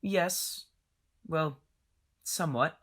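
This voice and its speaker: A woman voicing a timid young man who stammers and speaks quietly, in an amateur audio drama. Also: deep voice, extremely slow, breathy edge, exaggerated voice. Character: shy sickly young man passenger.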